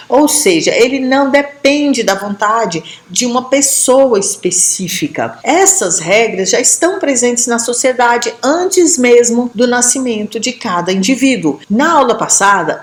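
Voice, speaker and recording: A woman from Brazil teaches a sociology lesson in a fairly high voice. She lays out each idea patiently, often pausing to check in with quick tag questions. The room around her gives the voice a noticeable echo. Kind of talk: explanatory classroom lesson.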